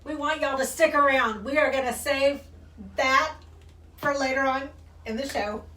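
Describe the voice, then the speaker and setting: A woman's voice: high voice, steady tone, normal speed, bright, forceful tone. A woman co-hosting a casual live stream, keeping the show on track in a friendly way. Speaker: co-host. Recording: echoey room, far from the mic.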